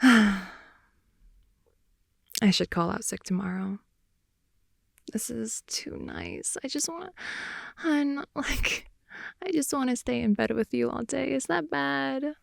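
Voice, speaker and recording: A woman improvising an intimate ASMR roleplay as a playful, affectionate girlfriend in bed, speaking softly, often in whispers and sighs, close to the microphone. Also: fairly high pitch, sing-song, slow pace, vocal fry, long pauses, dry recording. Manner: soft, often whispery, sighing, teasing. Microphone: close microphone, dry intimate sound.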